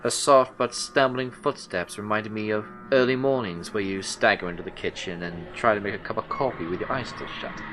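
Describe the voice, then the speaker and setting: A man playing a troubled detective who narrates an eerie horror audio drama in the first person, in a measured, brooding way. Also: fairly low pitch, lightly acted, rough recording. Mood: watchful, musing. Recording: music under the voice. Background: music soundtrack.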